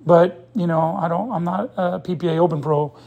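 A man's voice: high voice, steady tone, normal speed, creaky, darkish tone, lo-fi sound. A man in his fifties, a guest in a casual sports interview, speaking in an earnest, opinionated way as he thinks aloud. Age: 50s. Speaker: interview guest, pickleball player.

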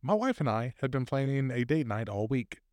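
A man's voice: mid-range voice, big pitch swings, a little fast, darkish tone, dry recording, clean studio sound. A man co-hosting a casual podcast, reading posted stories aloud with fillers and laughs.

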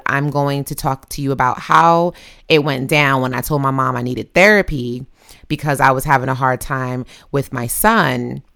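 A woman's voice: low voice, a bit bright, clean tone. A middle-aged woman hosting a podcast in a casual, chatty, informal way from her home office. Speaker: podcast host. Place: home office with windows open.

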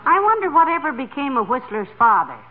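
Dry teasing wife, sarcastic, phone-thin old radio sound, clear hiss. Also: female voice, high-pitched, big pitch swings, a little fast, phone-quality sound. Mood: wry. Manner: wry, sarcastic. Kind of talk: 1940s radio comedy acting.

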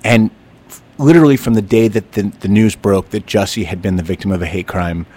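A man with a fairly low voice hosts a casual podcast conversation. He is animated and rambling, often stumbling and restarting as he builds his argument. The tone stays conversational and expressive. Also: fairly quick. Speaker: podcast host.

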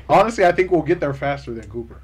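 A man's voice: fairly high pitch, fast talker, husky. A young man in casual podcast chat, slangy and laughing often. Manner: animated, slangy, laughs a lot. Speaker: podcast host.

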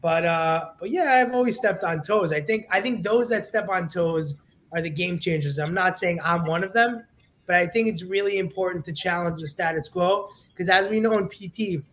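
A young man from New York talks in a brash, lively way, acting out his own remarks as quotes. His voice sits high for a man. He is a guest on a casual podcast. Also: normal speed, a little hiss or hum. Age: young adult. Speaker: guest physical therapist.

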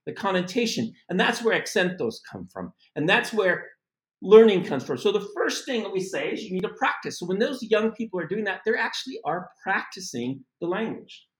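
Man, middle-aged, from the United States, casual lesson, animated, high voice, echoey room. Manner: animated and chatty, with asides and laughs. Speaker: language teacher.

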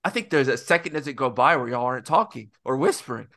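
A man's voice: mid-range voice, expressive, fast, pushed and bright. A young man from Egypt hosting a student podcast, asking rambling, friendly questions with many fillers. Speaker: podcast host. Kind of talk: casual chat.

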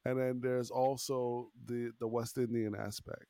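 A man in his thirties from Long Island, hosting a relaxed conversation with friends at a middle pitch. His talk circles back on itself with restarts and frequent check-ins with the others. The recording is dry and close, with little room sound. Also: a little slow, no echo. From Long Island, New York. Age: 30s. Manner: chatty, repeats phrases, asks "you know what I'm saying". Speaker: podcast host. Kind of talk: casual group chat.

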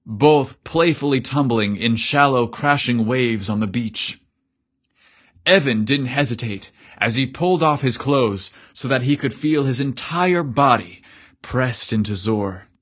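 A man's voice: mid-range voice, measured pace, slightly rough. A man narrating a scripted story as its host, in a dramatic, theatrical and emphatic style.

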